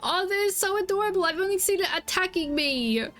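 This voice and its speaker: A woman with a high voice reacting casually to a video game as a streamer. She is expressive and exclamatory, with faint music behind her. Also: a little fast. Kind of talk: casual gameplay reaction commentary.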